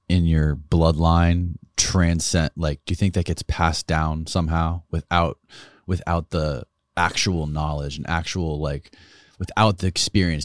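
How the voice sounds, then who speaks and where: A man hosting a podcast, asking curious and thoughtful questions in a relaxed conversational way. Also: sits low, no echo, clean studio sound. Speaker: podcast host.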